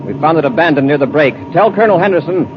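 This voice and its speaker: An actor playing a brisk, authoritative space patrol commander in a 1950s radio adventure serial, giving clipped orders. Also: male voice, fairly high pitch, animated, over music, lightly acted. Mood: commanding. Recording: noisy, dull old radio recording.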